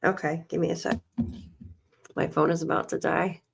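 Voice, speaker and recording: A woman's voice: on the low side, flat delivery, normal speed, gravelly, slight background noise. A tired woman hosting a casual livestream, reading documents aloud and muttering to herself as she searches, coughing and losing her voice. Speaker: livestream host.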